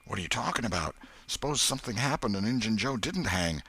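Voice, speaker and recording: A man's voice: lowish voice, animated, fast, background noise, voice pushed up. A man voicing a worldly, wary village boy in an audiobook, with blunt, slangy, opinionated rustic speech. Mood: grimly certain.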